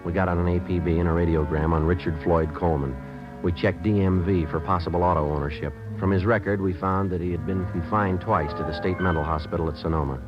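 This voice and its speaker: A very low-voiced American police sergeant played by a male actor rattles off facts in a deadpan, businesslike way. It is old-time radio crime drama, dull in sound with music clearly behind. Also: quick pace, close to an everyday voice. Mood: matter-of-fact. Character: terse, matter-of-fact male police sergeant detective. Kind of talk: 1950s radio drama narration and dialogue.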